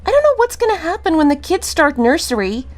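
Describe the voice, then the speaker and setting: A woman's voice: very high voice, big pitch swings, brisk. An actress playing an exhausted, exasperated young mother in a drama, fretful and pleading with her parent. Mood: weary, embarrassed. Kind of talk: dramatic dialogue.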